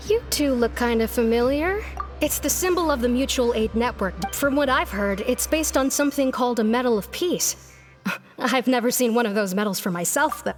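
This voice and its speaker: A woman playing a chatty network member, brightish voice, friendly, casual, game voice. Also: fairly high pitch, natural voice.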